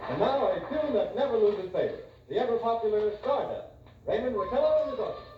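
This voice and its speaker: A man's voice: high voice, expressive, quick pace, recorded from a distance. An American actor playing a genteel male dance band announcer on 1930s radio, making formal, showy introductions. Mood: polite, showy. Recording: muffled, echoey, noisy old radio recording from vinyl.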